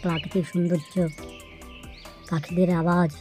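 A woman's voice: fairly low pitch, flat delivery, moderate pace. A woman reading a scripted first-person story as its narrator. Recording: music behind the voice.

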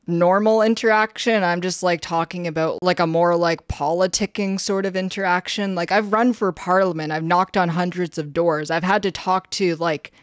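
A young woman with a Canadian accent chats casually on her stream, her tone flat and a bit monotone. Her voice sits on the lower side, and the sound is dry and close with no room around it. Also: dry recording. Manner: flat, slightly monotone delivery with frequent fillers. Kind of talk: casual livestream chat, reading a questionnaire aloud. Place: indoor room, streaming setup.

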